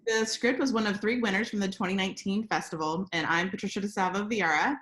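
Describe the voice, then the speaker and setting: A woman's voice: mid-range voice, fairly even intonation, fast, fairly bright tone. A woman hosting and presenting an online event as festival co-founder.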